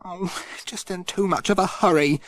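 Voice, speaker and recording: A storyteller voicing a cheerful, busy village postman who talks brightly and eagerly. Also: mid-range voice, moderate pace, dry recording, voice pushed up, close to an everyday voice. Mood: rueful. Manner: bright, sing-song and eager. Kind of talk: storybook read aloud.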